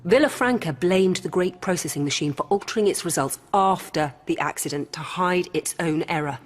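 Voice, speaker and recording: Woman playing a cool, low-voiced female robot hybrid. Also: natural voice. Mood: matter-of-fact. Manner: measured, formal, didactic. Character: calm, coolly rational female robot hybrid in human guise. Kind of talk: radio drama acting. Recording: music behind.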